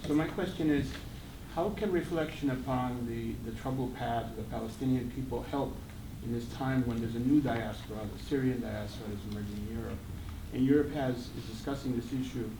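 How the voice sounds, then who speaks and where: Articulate man asking from a noisy floor. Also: medium pitch. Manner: articulate, measured, long sentences. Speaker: audience member asking a question. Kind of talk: question from the floor. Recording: echoey room, distant mic, audible noise.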